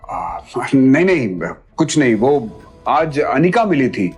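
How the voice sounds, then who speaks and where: A serious male doctor in an audio drama. His husky voice is businesslike and slightly hesitant, with soft music underneath. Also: mid-range voice, sing-song, slow, heavily acted. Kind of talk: Hindi audio drama acting.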